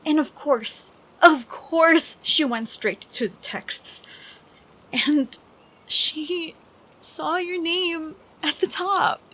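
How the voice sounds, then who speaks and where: A young woman playing an affectionate, troubled college-age best friend in an intimate audio roleplay, speaking softly and hesitantly with sighs. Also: high voice, heavily acted, rough recording. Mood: upset, weary. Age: young adult. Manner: soft, hesitant, slow with sighs. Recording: steady background noise of rain. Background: rain sounds.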